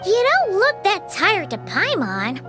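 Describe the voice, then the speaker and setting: An extremely high, squeaky childlike fairy voice for a game companion. It swoops animatedly and sounds cheeky and sassy. Music plays underneath. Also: female voice, wildly swooping pitch, slow, full character voice. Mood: sassy, skeptical. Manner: sassy, third-person self-reference. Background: background music.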